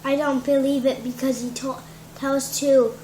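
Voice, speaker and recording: A listener answering a reader's questions in casual chat, with a hesitant, halting manner. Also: sits high, flat delivery, warm tone. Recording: roomy, distant, faint noise.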